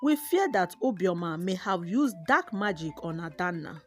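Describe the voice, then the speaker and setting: A woman's voice: lowish voice, big pitch swings, moderate pace. A woman voicing an earnest, determined village woman in a folktale, speaking firmly, with music behind. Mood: concerned. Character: loyal, determined young village woman confronting a witch. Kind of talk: folktale character voice.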